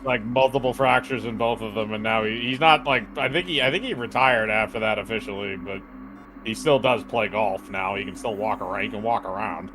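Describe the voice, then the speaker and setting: A man's voice: on the low side, fairly quick, background noise. A man chatting casually as a co-player in a game session, bantering along. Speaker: co-player voicing a game character.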